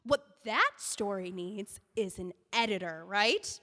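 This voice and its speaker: An actress playing a patient book editor who coaxes and soothes a bitter client in an audio play. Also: female voice, medium pitch, lively intonation, takes it slowly, sharp tone. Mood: soothing. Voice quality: soothing, pleading. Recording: long hall reverb.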